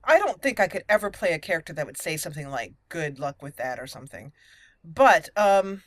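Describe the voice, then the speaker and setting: A woman's voice: sits low, very animated, fairly quick, no echo, clean studio sound. A woman giving chatty roleplay game commentary, thinking aloud and slipping into formal in-character lines. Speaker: gaming channel host and player. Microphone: close, dry microphone.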